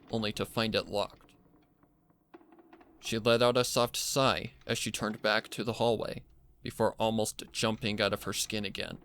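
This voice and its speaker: A man's voice: on the low side, a bit bright. A man reading a dramatic scripted story as narrator, with noise behind him. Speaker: narrator reading fan fiction.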